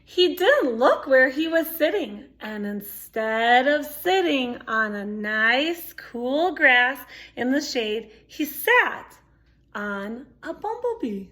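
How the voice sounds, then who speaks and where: Slow, high-voiced woman reading a storybook. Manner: expressive, childlike exclamations and questions to listeners. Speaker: storytime reader. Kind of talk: reading a picture book aloud to young children with asides and questions.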